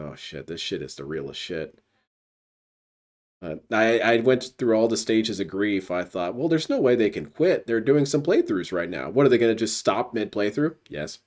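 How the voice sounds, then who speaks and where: A man's voice: on the low side, darkish tone. A man giving casual, sardonic commentary as a streamer, heckling what he watches. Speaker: streamer reacting to another stream.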